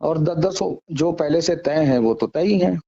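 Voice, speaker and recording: A man's voice: a little high, normal speed, gravelly, warm tone. A man speaking politely and in a conciliatory way, negotiating over a phone call. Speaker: head of an Islamic seminary. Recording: dull, lo-fi phone call recording.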